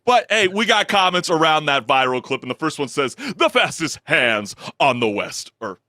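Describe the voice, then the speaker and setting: Young guy w a low baritone that squeaks sometimes, bouncy and bright, dry close mic. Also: normal speed, dry recording. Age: young adult. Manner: animated, laughs often, reads viewer comments aloud. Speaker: co-host of a gaming talk show. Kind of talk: casual chat.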